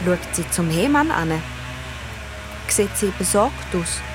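An earnest, imaginative schoolchild with a Swiss German accent, telling a story in a children's radio play. The child takes time over the words and muses as if thinking aloud. Music plays underneath. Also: female voice, lowish voice, animated, slow pace. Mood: tense, urgent. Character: earnest, imaginative schoolchild narrating their forest adventure. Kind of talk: first-person radio play narration for children.

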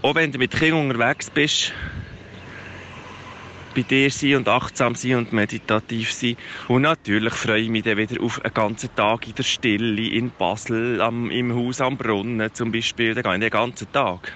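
A man's voice: medium pitch, fairly even intonation, moderate pace, pushed and bright. A man with a Swiss German accent recording a casual, reflective voice message while walking outdoors. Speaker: parent contributing a voice message. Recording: outdoor noise, faint traffic ambience.

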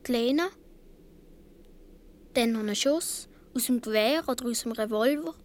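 A woman's voice: on the high side, a little slow, darkish tone, lightly acted. A woman playing a seven or eight year old Swiss boy, telling his story earnestly with a Swiss German accent in a radio play. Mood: earnest, tense.

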